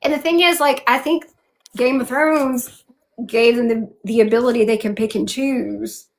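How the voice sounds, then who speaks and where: A woman's voice: fairly high pitch, fairly even intonation, moderate pace. A woman with a Southern American accent, interviewing in a casual chat with an effusive, colloquial, emphatic manner. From southern United States.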